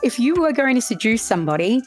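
A woman's voice: a little high, big pitch swings, quick pace, faint music behind. A woman in her forties hosting a podcast, asking questions in a friendly, playful and encouraging way. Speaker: podcast host. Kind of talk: casual interview chat.

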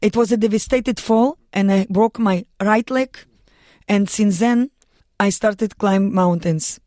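Russian-accented woman guest, earnest, halting English, mid-pitched, dry studio sound. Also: darkish tone, no room sound. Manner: earnest, halting non-native English with restarts. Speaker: guest, mountaineer and lawyer. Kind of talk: radio studio interview. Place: radio studio.

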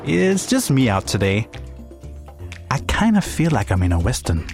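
A young man from Denmark with a Danish accent, hosting a podcast in reflective, gently playful scripted narration. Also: mid-range voice, wildly swooping pitch, moderate pace, soft background music. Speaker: podcast host.

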